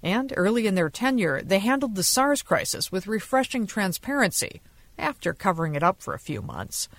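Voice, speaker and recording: A woman delivers a scripted radio news report as a foreign correspondent. Her voice sits at a medium pitch and is recorded dry, with no room sound. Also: sing-song, moderate pace, dry recording.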